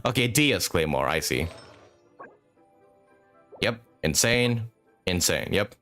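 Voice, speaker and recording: A man with a fairly low voice chats casually through a game livestream over background music. His pitch moves around a lot, and he talks in a halting, rambling way. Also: lively intonation, a bit bright. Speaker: live streamer playing a video game. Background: video game music.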